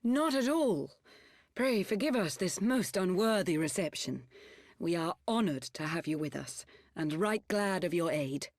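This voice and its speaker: Lively-pitched, courteous lady knight. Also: measured pace, no room sound, clean studio sound. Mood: deferential, welcoming. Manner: formal, archaic, deferential. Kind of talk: fantasy game cutscene acting.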